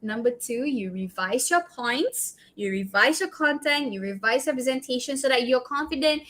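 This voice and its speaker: A woman's voice: high voice, lively intonation, moderate pace, fairly bright tone. A woman with a Malaysian accent giving a public speaking workshop talk, offering direct advice and tagging her sentences with "right?" and "okay?". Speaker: guest speaker.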